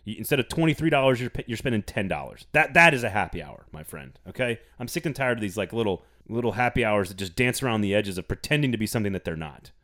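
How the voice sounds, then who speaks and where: A man's voice: fairly low pitch, lively intonation, quick pace, hardly any pauses, fairly bright tone. A man hosting a casual sports podcast, playful and teasing, reading an ad with gusto. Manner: playful, teasing, reads ads with enthusiasm. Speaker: podcast host.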